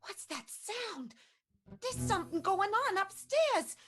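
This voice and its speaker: Naive, fretful comic pupil, female actor: piercingly high, lively, hesitant, radio play with music behind. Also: slow, full character voice. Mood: anxious wonder. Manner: wide-eyed, hesitant, exclaiming. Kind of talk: improvised radio play acting.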